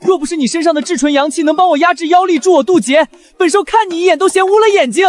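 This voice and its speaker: A man voicing a haughty, prickly rabbit spirit who serves as chancellor in an animated period drama. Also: very high-pitched, quick pace. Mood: indignant. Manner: haughty, indignant. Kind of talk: Chinese animated web drama voice acting.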